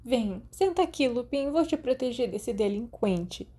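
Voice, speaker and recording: A woman's voice: a little high, expressive, unhurried, dark tone, voice pushed up. A woman with a Brazilian accent voicing a playful, protective teenage girl with a teasing manner in an audiobook.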